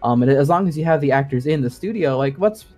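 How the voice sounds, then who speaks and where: A man's voice: fairly high pitch, lively intonation, quick pace, darkish tone. Man casually explaining tech, music behind. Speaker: podcast guest co-host explaining game sound design.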